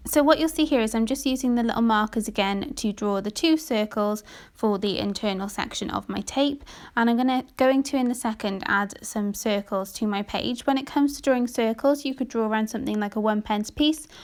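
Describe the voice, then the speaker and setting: A woman's voice: fairly high pitch, brisk. A woman with a British accent narrating an informal step-by-step art tutorial in an instructional, explanatory manner. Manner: instructional, explanatory, checking with "okay?". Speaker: art teacher giving a drawing tutorial.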